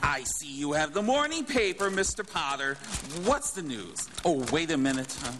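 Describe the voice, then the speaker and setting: An actor playing a scatterbrained, bumbling uncle with a muddled, halting manner in a live radio drama. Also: male voice, fairly high pitch, expressive, noisy background, close to an everyday voice. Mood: jovial, muddled.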